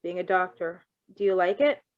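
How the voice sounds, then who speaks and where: A woman's voice: lowish voice, lively intonation, moderate pace, narrow, tinny audio, lightly acted. A woman voicing a wary, shaky wounded young man in a story reading, with terse, hesitant replies. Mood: curious, impressed.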